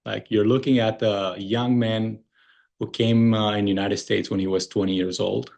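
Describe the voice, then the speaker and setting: A middle-aged man from Romania with a Romanian accent, speaking earnestly as an interview guest, often pausing on "uh" and restarting his sentences. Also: fairly low pitch, steady tone, creaky, darkish tone, dull audio. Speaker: guest, moving company founder. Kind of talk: conversational interview.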